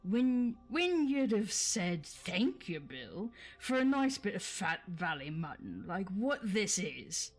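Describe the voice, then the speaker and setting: A woman voicing a surly, coarse male troll with a rough put-on accent in a storybook reading. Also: medium pitch, sing-song, takes it slowly, hardly any pauses, faint music behind, heavily acted.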